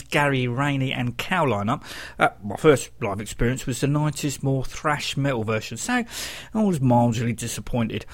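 A man presenting a music radio show, talking between tracks as the host. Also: mid-range voice.

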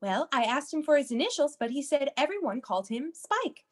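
Prim female dispatcher, acted by a woman, high pitched, very animated, fussy and reassuring, radio play. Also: a little fast. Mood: brisk, businesslike. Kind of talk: comedic radio play acting.

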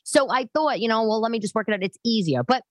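A woman in her late thirties hosting a podcast, talking casually and bluntly to her listeners in an animated, conversational way. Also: a little high, fast talker, dry recording, clean studio sound. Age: late 30s. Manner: animated, conversational, acts out others' remarks. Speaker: podcast host. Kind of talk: casual, chatty monologue to listeners.

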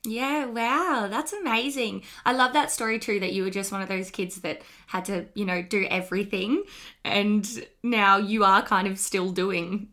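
Woman, Australian accent, podcast host, enthusiastic, slightly croaky, crisp bright tone. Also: mid-range voice, fairly even intonation, a little slow. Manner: enthusiastic, gushing. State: croaky from an early start. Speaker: podcast host, health teacher. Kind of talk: upbeat podcast interview.

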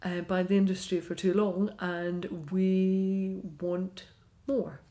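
A woman's voice: lowish voice, level tone, slow pace, warm tone. A woman hosting a podcast, chatting enthusiastically and laughing easily as she interviews a guest. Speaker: podcast host. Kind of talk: casual podcast chat and interview.

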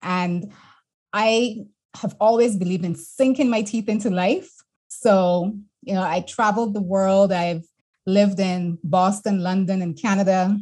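A woman's voice: mid-range voice, steady tone, unhurried, mellow. A middle-aged woman from Barbados, a wellness coach telling her story as an interview guest in an earnest, reflective way.

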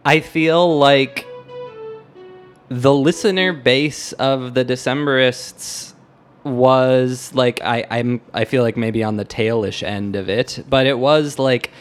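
A man's voice: mid-range voice, fairly even intonation, slow. A man co-hosting a music podcast, speaking informally and reflectively with hesitant phrasing. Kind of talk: casual music discussion.

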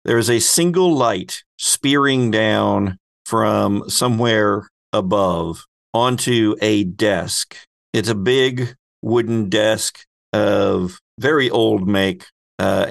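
A man narrating a tabletop role-playing game as game master, describing scenes in measured phrases with pauses. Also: on the low side, vocal fry, no room sound, clean studio sound.

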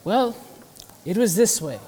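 Affable man about town, male actor: high male register, genteel period dialogue, expressive and sociable. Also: measured pace, slight background noise. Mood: uneasy recollection. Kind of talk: period audio drama dialogue.

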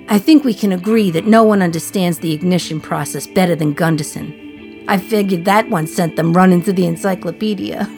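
A woman's voice: on the low side, darkish tone, lightly acted. Wry, wistful condemned mother over music played by an actress. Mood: wry, sardonic. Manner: wry, confiding, sardonic gallows humour. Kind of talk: dramatic radio play monologue.

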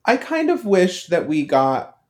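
A man's voice: sits high, wildly swooping pitch, a little slow. A man co-hosting a podcast, talking in a casual, analytical fan-chat style. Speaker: podcast co-host.

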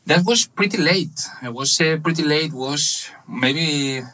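A man in his thirties from Spain with a Spanish accent, speaking English as a second language, telling his story haltingly in a casual interview with many fillers. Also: fairly high pitch, sharp tone, rough recording. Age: 30s. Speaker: guest, webshop manager.

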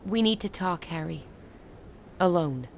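A woman's voice: fairly low pitch, lively intonation, a little slow, slight background noise. A woman acting in a radio drama as a hard, sardonic chairwoman who commands with blunt authority. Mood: stern.

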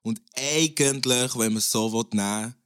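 A man's voice: mid-range voice, normal speed, a bit bright, smooth, no echo, clean studio sound. A young man, a guest rapper chatting casually in a podcast and rambling with restarts.

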